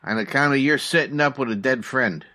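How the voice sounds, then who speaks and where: An actor playing a hesitant working-class cab driver in a comic old-time radio style. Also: male voice, medium pitch, brisk, bright, forceful tone, close to an everyday voice. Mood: awkward, dutiful.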